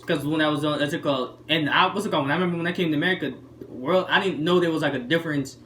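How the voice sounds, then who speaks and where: Fast-talking Haitian-born man, rambling. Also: a little high, pushed and bright. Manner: rambling, many fillers like "what's it called". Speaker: podcast co-host. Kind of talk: casual chat during a card game. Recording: roomy room with faint background noise.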